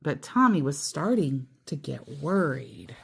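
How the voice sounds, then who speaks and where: A woman tells a children's story in an expressive read-aloud style. Her delivery is slow and highly animated in pitch, and she slips into casual asides as she goes. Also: creaky, breathy edge.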